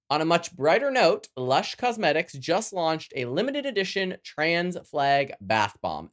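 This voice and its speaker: A podcast host with a Canadian accent reading a scripted news round-up with chatty, campy, playful asides. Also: medium pitch. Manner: sassy, playful.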